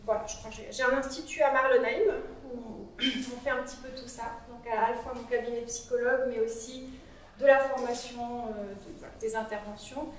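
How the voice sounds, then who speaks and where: A woman's voice: on the high side, steady tone, fairly quick, far from the mic, a little hiss or hum. A woman psychologist speaking conversationally to a small audience in a cool meeting room, away from the microphone. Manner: conversational, interactive, frequent "euh" fillers. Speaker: psychologist giving a talk. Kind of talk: interactive conference talk. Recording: very echoey room, voice from afar.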